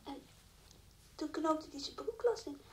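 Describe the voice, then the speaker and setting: An actress playing a naive, excitable little child in a radio drama. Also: female voice, high voice, unhurried. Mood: excited, naive. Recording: faint background noise.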